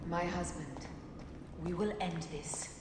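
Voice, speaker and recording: An actress playing a resolute, vengeful Egyptian warrior wife in a dramatic video game, grave and determined. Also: female voice, fairly low pitch, slow pace, darkish tone, in a large hall. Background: clear music.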